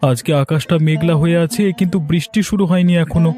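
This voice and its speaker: A man plays a poor village fisherman and farmer in a cartoon tale. His voice sits a little high and has a soft, mellow tone. He sounds plaintive and worried, with faint music behind him. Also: steady tone, fast talker, natural voice. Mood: worried, practical. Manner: plaintive, lamenting. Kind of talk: cartoon story dialogue.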